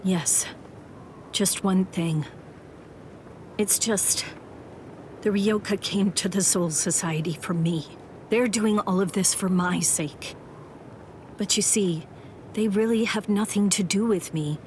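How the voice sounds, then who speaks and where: An actress playing a condemned young woman warrior in an anime game, selfless and resigned, with a solemn, deliberate manner. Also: mid-range voice, a little hiss or hum, natural voice. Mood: solemn pleading. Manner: solemn, deliberate, softly resolute. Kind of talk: scripted anime game dialogue.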